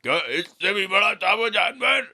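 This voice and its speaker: A man voicing a proud, boastful father frog with a quick temper in a children's animated fable. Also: high voice, vocal fry, voice pushed up, heavily acted. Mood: indignant. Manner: boastful, blustering.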